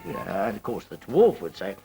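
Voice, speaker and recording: A man's voice: fairly low pitch, brisk. A man telling anecdotes in an interview, acting out dialogue as he goes. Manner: animated storyteller, acting out dialogue. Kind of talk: anecdotal talking-head interview. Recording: faint music behind, VHS tape.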